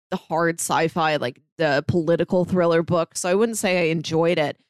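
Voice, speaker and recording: A woman's voice: on the low side, dry recording, studio quality. An American woman podcast co-host retelling stories in casual, rambling and emphatic conversation. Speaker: podcast co-host discussing comics and books.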